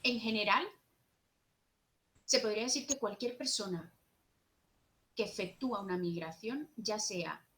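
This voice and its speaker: Young woman, Jerez Andalusian accent, mid-pitched, earnest seminar speaker who leans on key words. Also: a little slow, pauses often, fairly bright tone, slight background noise. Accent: Andalusian Spanish, from Jerez. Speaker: speaker at a university social work seminar. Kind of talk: conference talk, partly read.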